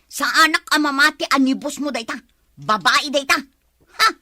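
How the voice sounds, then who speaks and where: A woman's voice: high voice, measured pace, sharp tone, dry recording, exaggerated voice. A woman playing an emotional, suspicious wife in an audio drama, insistent and accusing. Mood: angry, confronting. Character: suspicious jealous wife and mother, quick to confront her husband. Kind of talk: Filipino audio drama acting.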